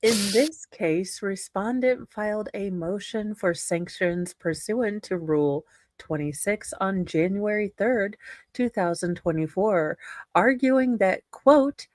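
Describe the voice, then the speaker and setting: A woman's voice: mid-range voice, takes it slowly, a little mellow, no echo. A woman reading a legal document aloud as a performance, dropping in casual asides. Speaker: co-host reading a court ruling aloud.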